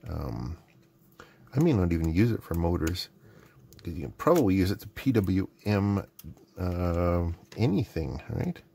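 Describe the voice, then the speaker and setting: Low gravelly man, casual bench commentary. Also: big pitch swings, measured pace, mellow. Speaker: host of an electronics mailbag video. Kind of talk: casual unscripted bench commentary while unboxing and testing gadgets. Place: indoor electronics workbench.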